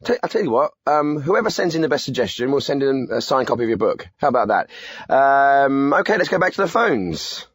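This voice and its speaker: A man's voice: medium pitch, sing-song, fairly quick, hardly any pauses, slightly rough, no room sound, clean studio sound. An English actor playing a chatty, eager male host of a spoof radio phone-in.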